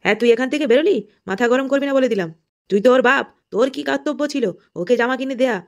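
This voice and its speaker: A woman's voice: high-pitched, quick pace. A woman voicing a doting but grumpy, foul-mouthed old grandfather in a comedy cartoon, with a blustering, coarse way of talking. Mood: scolding. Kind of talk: Bengali comedy cartoon dialogue.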